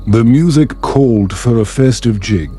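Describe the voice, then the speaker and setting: A man narrating a scripted bedtime story in a calm, soothing, measured manner. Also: medium pitch. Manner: slow, soothing, measured delivery. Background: music.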